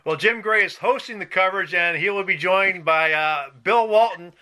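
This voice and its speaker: High-pitched man hosting a sports podcast. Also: moderate pace, sharp tone. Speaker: podcast host, sports editor.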